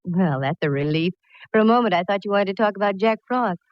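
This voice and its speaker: A woman's voice: medium pitch, lively intonation, no room sound, lo-fi sound, natural voice. An actress playing a breezy, wisecracking female private eye in 1940s radio drama style, glib and sardonic, on a vintage recording. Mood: flippant. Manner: glib, sardonic, quick with quips.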